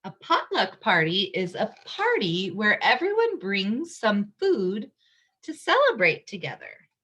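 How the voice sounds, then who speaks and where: A woman reading a picture book aloud to young children, expressively, pausing to explain things simply. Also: medium pitch, wildly swooping pitch, a bit bright, husky.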